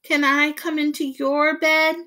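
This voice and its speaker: A squeaky, anxious little boy mouse from a storybook, calling out in a high, urgent voice, as a woman reading aloud puts him on. He sounds small and frightened and pleads every few words, pitched well above a grown-up's voice and pushed out loud. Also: flat delivery, a little slow. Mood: pleading.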